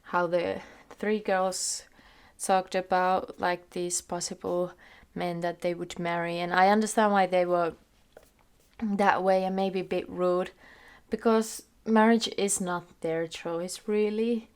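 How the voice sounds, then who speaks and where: A young Finnish woman with a fairly low voice, a bit under the weather, talks to the camera in a small room. She chats loosely about what she read, thinking aloud with fillers and restarts. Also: flat delivery, takes it slowly, a little mellow, clean tone. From Finland. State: sick, slightly hoarse. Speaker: book vlogger. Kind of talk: casual chat to camera. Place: small room in front of a bookshelf. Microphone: camera in front at desk distance.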